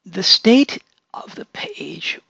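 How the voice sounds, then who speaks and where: A man with a hoarse, whispery voice, casually explaining a software demonstration as an accessibility consultant, with many fillers. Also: high voice, sing-song, very slow, no echo.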